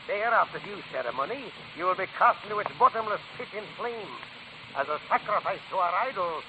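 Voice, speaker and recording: A hissy 1940s radio recording carries a greedy, genteel villain. The voice is high and very lively in pitch. Every formal courtesy is laced with unhurried menace. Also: male voice. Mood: gloating menace. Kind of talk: 1940s radio thriller acting.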